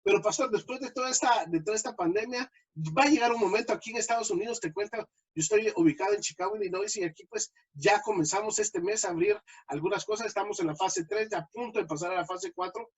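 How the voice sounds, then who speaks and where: High husky-voiced man hosting an interview. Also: quick pace, low-quality recording. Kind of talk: conversational interview.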